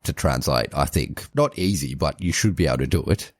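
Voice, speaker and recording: A man co-hosting a podcast in casual chat, measured and thinking aloud as he gives his views. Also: rumbling low, expressive, dry recording, clean studio sound. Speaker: podcast co-host.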